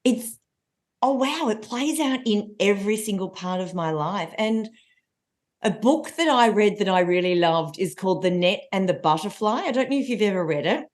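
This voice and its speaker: A woman in her forties with a loud voice, a coach telling stories as a guest in a casual podcast conversation. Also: mid-range voice, normal speed. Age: 40s. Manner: energetic, animated storytelling with laughs.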